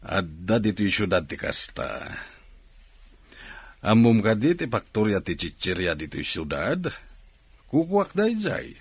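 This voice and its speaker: A practical Filipino-accented factory recruiter in a radio drama. The low voice is husky and swings widely in pitch. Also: male voice, very animated, a little slow, pauses often, dry recording, full character voice. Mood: persuasive, practical. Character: male factory man, Mister, offering work, practical and encouraging. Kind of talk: Ilocano radio drama acting.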